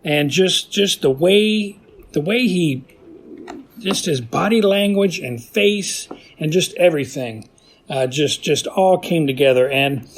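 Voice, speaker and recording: Male podcast listener, voice a little high, chatting casually and keenly about classic TV, circling back and pausing often. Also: animated. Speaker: listener sending audio feedback to a podcast. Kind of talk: casual spoken commentary on classic TV.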